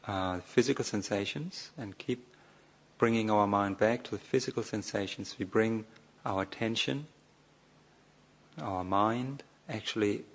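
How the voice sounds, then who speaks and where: Man, lowish voice, guided meditation, measured delivery, hesitant phrasing, long pauses. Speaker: Buddhist monk leading guided meditation. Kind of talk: guided meditation talk, spoken off the cuff.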